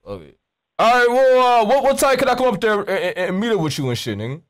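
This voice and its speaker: High-pitched American man, rambling and profane. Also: animated, fast, fairly bright tone. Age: young adult. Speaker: prank caller and streamer. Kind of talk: prank phone call in casual street slang. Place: indoors at a streaming desk. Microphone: close studio mic with pop filter, headset on.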